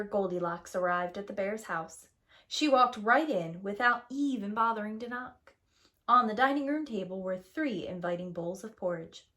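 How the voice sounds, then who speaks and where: A woman reading a storybook aloud to young children as a preschool teacher, with expressive, emphatic storytelling. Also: mid-range voice.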